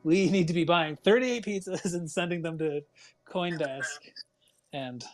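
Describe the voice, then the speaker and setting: An American man co-hosting a casual chat, teasing the others with quick jokes. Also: fairly high pitch, faint music behind. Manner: joking, teasing, quick asides.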